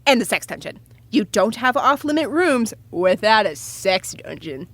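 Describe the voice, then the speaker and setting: Mischievous, lively teasing friend. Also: female voice, mid-range voice. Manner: playful, drawn-out teasing. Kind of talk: modern audio drama acting.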